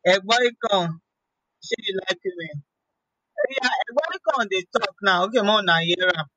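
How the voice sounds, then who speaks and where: A woman's voice: mid-range voice, lively intonation, normal speed, sharp tone, lo-fi sound. A woman with a Nigerian accent hosting a morning radio show, chatty and playful, with many "eh" fillers. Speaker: radio host. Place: radio studio. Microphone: studio microphone.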